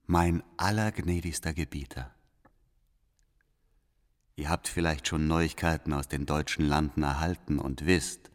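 An actor playing a devout, calculating spy, voicing his letter in formal, deferential, measured phrases in a radio play. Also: very deep voice, steady tone. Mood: reporting, deferential. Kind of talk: letter read as radio drama monologue. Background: faint music.

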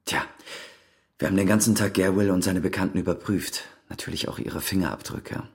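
An actor playing a dogged, suspicious police detective in a radio crime drama, dry and matter-of-fact, with a husky voice. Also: male voice, sits low, a little fast. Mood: businesslike.

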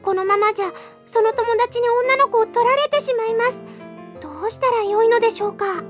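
A woman playing an excitable, lovestruck anime schoolgirl co-hosting a character radio show, theatrical and exclaiming. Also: extremely high pitch, fairly quick, over music. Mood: earnest. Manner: exclaiming, theatrical, stammering when flustered. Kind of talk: character radio show, reading listener letters.

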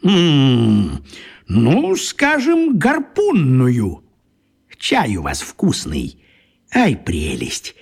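An actor playing a small, crafty old wizard in a children's radio play, talking in a coaxing, folksy way with a husky voice. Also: male voice, mid-range voice, huge pitch swings, very slow, heavily acted.

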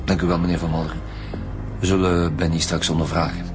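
Actor as a businesslike, low-voiced Flemish detective. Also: male voice, level tone, quick pace, over music, lightly acted. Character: brisk, businesslike male police detective in charge. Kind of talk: radio drama acting.

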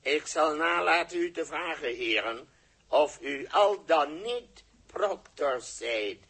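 Alien interrogator, formal, stiff, slow, husky, 1960s sci-fi radio drama. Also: male voice, fairly high pitch, expressive, bright, forceful tone, full character voice. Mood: solemn. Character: formal alien interrogator running a truth test. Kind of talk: 1960s science-fiction radio drama acting. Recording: muffled-edged 1960s radio recording.

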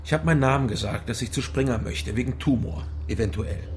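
An actor playing a haunted, hard-drinking East German private detective in a radio drama, halting, weary and brooding. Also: male voice, medium pitch, slight background noise, close to an everyday voice. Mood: anxious, defensive. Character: haunted male East Berlin private detective, ex-border soldier, heavy drinker. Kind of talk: radio drama dialogue and brooding first-person narration. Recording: music behind the voice.

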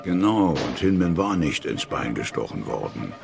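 Investigator: low, creaky, factual and official, docudrama with clear music bed. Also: male voice, big pitch swings, moderate pace, full character voice. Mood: dry, factual. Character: dry, factual male police investigator reporting raids. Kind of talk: German docudrama radio play testimony.

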